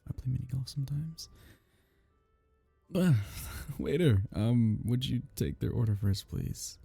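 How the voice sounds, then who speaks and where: Man playing a flustered, husky, mellow geeky fox guy. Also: medium pitch, exaggerated voice. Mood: flustered, distracted. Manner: halting, stammering, nervously apologetic. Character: awkward, geeky, flustered male kitsune on a blind date. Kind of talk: roleplay audio acting, ASMR.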